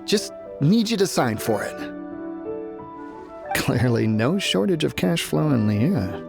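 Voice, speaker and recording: An actor playing an easily flustered, unenthusiastic export trader in a video game, with a halting, apologetic manner. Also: male voice, a little high, very animated, gravelly, music in the background, full character voice. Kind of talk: scripted video game dialogue.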